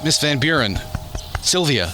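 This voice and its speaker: A man playing a steady, reassuring scientist in a radio drama, measured and explanatory in his delivery. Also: fairly high pitch, loud music behind the voice. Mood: calm, easy.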